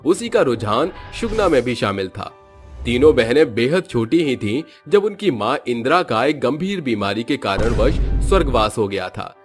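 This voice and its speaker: A man's voice: mid-range voice, very animated, moderate pace, husky. A man narrating a scripted story as a storyteller. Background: background music.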